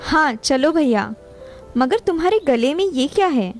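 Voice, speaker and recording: A woman playing a gentle young princess and devoted sister in a children's fairy tale. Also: high-pitched, sing-song, measured pace, exaggerated voice. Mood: sweet, relieved. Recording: long hall reverb, music behind.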